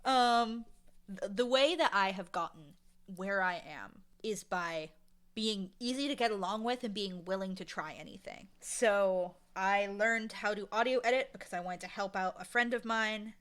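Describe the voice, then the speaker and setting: A woman talking casually and with opinions as an interview guest, animated and acting out quotes to make her points. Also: mid-range voice, moderate pace, clear, resonant tone. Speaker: audio drama showrunner, interview guest.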